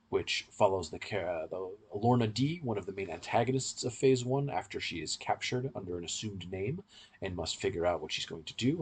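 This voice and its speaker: A man's voice: sits low, slightly rough. A man hosting a podcast, explaining things at length in a conversational discussion.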